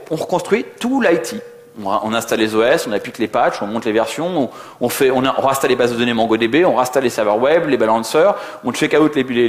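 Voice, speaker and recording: Male conference speaker, very quick, joking and colloquial, roomy sound. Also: medium pitch. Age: middle-aged. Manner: joking, self-deprecating, colloquial. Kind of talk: informal technical conference talk. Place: indoor conference venue. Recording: echoey conference room.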